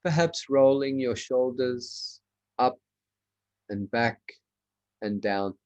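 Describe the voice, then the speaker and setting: Male meditation teacher, unhurried pace, short spaced phrases, measured instructional delivery. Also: medium pitch, a little mellow. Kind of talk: guided meditation.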